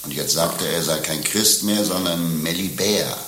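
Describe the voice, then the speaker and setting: A man's voice: low voice, normal speed, a bit bright. A male actor playing a coarse, sardonic rogue in a satirical radio play, talking in a blunt, mocking, colloquial way. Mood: scornful. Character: coarse, sardonic male rogue, grumbling about his lovesick friend. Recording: roomy radio play sound, faint hiss.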